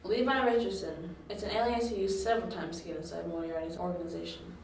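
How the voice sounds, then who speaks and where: A woman playing a calm, patient young confidante who explains things in an amateur audio drama. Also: on the low side, fast talker, gravelly. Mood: explaining. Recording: distant echoey room, faint noise.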